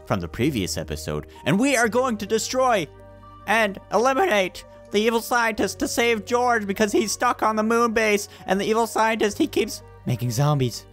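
A playful young man hosts a gameplay roleplay over background music. His pitch moves around a lot as he improvises in a goofy way. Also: sing-song, moderate pace, a bit bright. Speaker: gaming video host.

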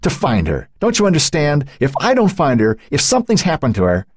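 A man's voice: deep voice, very animated, quick pace, a little mellow, dry recording, studio quality. A man voicing an anguished, defensive teenage boy in an audiobook, with a tight, breaking voice and pleading outbursts. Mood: desperate. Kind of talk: audiobook character acting.

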